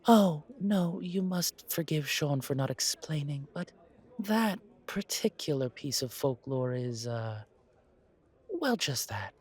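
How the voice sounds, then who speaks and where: A man's voice: fairly high pitch, animated, slow pace, a little mellow, husky, heavily acted. A man voicing a refined, haughty old vampire who speaks in a formal, courtly, theatrical way. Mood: courtly, explaining.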